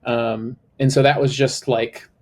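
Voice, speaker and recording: A man's voice: flat delivery, a little slow, a little mellow. Chatty man with a slightly nasal voice. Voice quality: slightly high, a little nasal. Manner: chatty, filler-heavy, lots of "like". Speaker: co-host. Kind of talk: casual podcast chat.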